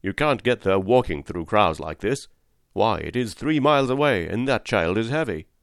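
A man's voice: on the low side, animated, moderate pace, no room sound. Guy doing a fatherly working-class cabbie from a storybook, firm but kind, plain talk, dry close sound. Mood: concerned. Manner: plain-spoken, reassuring. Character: kindly working-class London cab driver, firm, fatherly.

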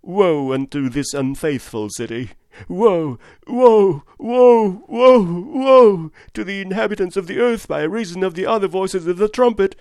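A man's voice: on the high side, a little slow, vocal fry, no room sound, clean studio sound, pitched above the performer's own voice. A man voicing a frantic male curate who rants and preaches doom, crying out wildly. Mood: raving. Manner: wild ranting with shouted cries.

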